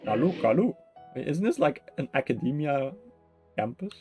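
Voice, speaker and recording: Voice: medium pitch, sing-song, moderate pace, music in the background. A game streamer giving casual live commentary, mumbling in a playful, slangy way.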